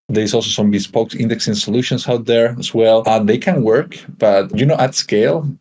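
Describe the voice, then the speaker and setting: A man from Spain giving a casual technical presentation as a guest engineer on a video call, relaxed and full of fillers and restarts. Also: on the low side.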